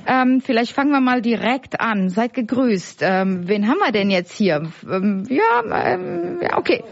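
A woman's voice: fairly high pitch, expressive, unhurried, vocal fry. A woman hosting a community radio show in a studio, talking casually with many fillers. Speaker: radio host. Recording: dull lo-fi radio sound.

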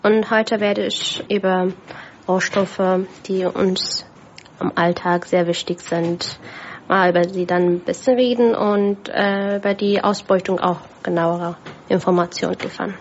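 A woman from Ethiopia presenting on the radio, earnest and slightly halting. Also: mid-range voice, fairly even intonation, slight background noise. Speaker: guest presenter. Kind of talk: casual radio talk. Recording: dull lo-fi sound with faint background.

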